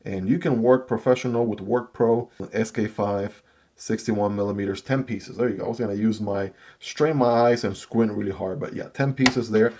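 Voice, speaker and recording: A man's voice: lowish voice, steady tone, normal speed, darkish tone. A man with Chinese as his first language giving a chatty knife unboxing and review, often adding "right?" as he goes. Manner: chatty, repeats "right?" and "I gotta tell you". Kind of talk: casual product review.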